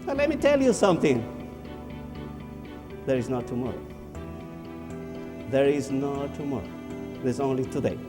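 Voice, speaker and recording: A man's voice: mid-range voice, expressive, moderate pace, pauses often, long reverb tail, over music. A man from Ecuador, giving a motivational speech as emphatic storytelling with repeated phrases. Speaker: motivational speaker.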